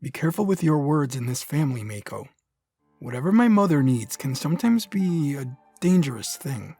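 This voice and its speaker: Man acting a mellow, husky young Sith. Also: sing-song, normal speed, no room sound, faint music behind, heavily acted. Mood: guarded, cool. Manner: low-key, formal, guarded. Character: cool, dangerous young Sith son, dutiful to mother. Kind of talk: audio drama acting.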